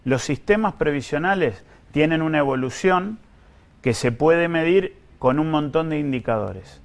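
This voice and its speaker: A man with an Argentine accent speaking as an official in an interview, explaining things in a careful, measured, technical way. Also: fairly high pitch, lively intonation. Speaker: government pension official being interviewed.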